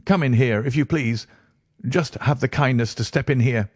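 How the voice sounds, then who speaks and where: A man's voice: medium pitch, normal speed, darkish tone, breathy edge, dry recording, clean studio sound, pitched above the performer's own voice, close to an everyday voice. A man voicing a jittery, self-important fat man in a reedy, quavering, fussy voice for an audiobook. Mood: nervous, fussy. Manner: nervous, fussy. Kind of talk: audiobook character acting.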